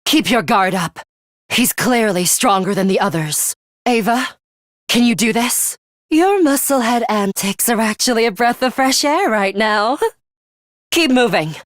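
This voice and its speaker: A woman's voice: on the high side, dry recording, clean studio sound. A woman playing a bloodthirsty, disdainful young female student commander in a video game, haughty, taunting and commanding. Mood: cool, commanding.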